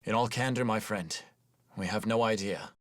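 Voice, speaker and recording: An actor voicing a cool, cautious, formal male companion with a husky voice in a video game. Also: lowish voice, moderate pace, natural voice. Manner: formal, composed. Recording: faint music behind the voice.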